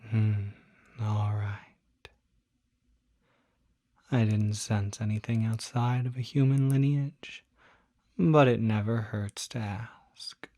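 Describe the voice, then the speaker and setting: A courteous, reassuring demon doctor, played by a man in an ASMR roleplay. The delivery is very slow and gentle, with a politely formal bedside manner that often slips toward a whisper. The tone is dark and relaxed, captured close and dry. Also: fairly low pitch, no room sound. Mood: calm, reassuring. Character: courteous, soft-spoken male demon doctor, fussy and reassuring. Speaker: doctor at a supernatural clinic.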